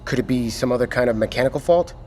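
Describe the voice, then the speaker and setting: An actor playing a terse, impatient military commander in an audio drama, curt and full of demanding questions. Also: male voice, mid-range voice, quick pace, a little mellow, lightly acted. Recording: clear background noise.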